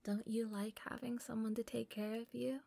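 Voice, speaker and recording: A woman playing a shy, doting, possessive yandere captor in an intimate roleplay audio, softly and breathily. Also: on the high side, flat delivery, moderate pace, no room sound. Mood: soothing, possessive. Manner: soft, breathy, often whispering, giggly, stammering when flustered.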